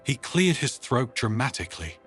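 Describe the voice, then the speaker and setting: A man narrating a scripted story in a dramatic, expressive audiobook style. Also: medium pitch, normal speed, faint music behind.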